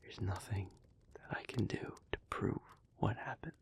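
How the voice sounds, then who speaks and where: A man playing an earnest, guilt-ridden demon in love, speaking in a hushed, breathy, halting voice in an intimate audio roleplay. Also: on the low side, exaggerated voice. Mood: earnest, resigned. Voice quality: breathy, husky, whispery. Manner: slow, halting, hushed delivery with pauses.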